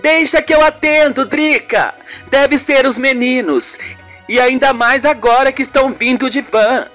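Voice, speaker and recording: A woman's voice: high-pitched, a little slow, fairly bright tone, faint music behind, pitched above the performer's own voice. A woman voicing an anguished, tearful aunt with startled exclamations in a radio soap. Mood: bright, busy. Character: anguished, tearful aunt hiding a family secret. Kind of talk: amateur radio soap acting.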